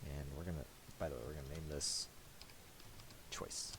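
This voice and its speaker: A man talking through a software tutorial casually, thinking aloud in a hesitant, piecemeal way. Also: extremely low pitch. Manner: hesitant, halting, piecemeal delivery. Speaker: tutorial presenter. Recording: steady hiss and clicking noise.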